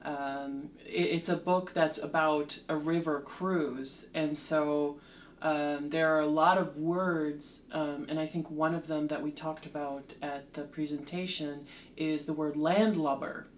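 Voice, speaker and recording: Slow, measured woman. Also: low voice, fairly even intonation. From Slovakia. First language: Slovak. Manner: measured, with frequent ums and restarts. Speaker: translator and lecturer, guest. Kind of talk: thoughtful interview answers. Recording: roomy echo with faint background hum.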